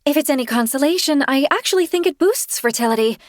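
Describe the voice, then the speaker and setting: A woman reading a novel aloud, voicing a bubbly, flustered young woman in a high, gushing voice. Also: lively intonation, fast talker, no room sound, clean studio sound. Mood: apologetic gushing.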